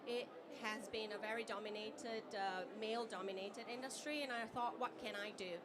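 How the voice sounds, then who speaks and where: A woman from Japan, a native Japanese speaker, speaking on a conference panel in a halting way as she searches for words. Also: fairly high pitch, fairly even intonation, background noise. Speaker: panelist, startup founder.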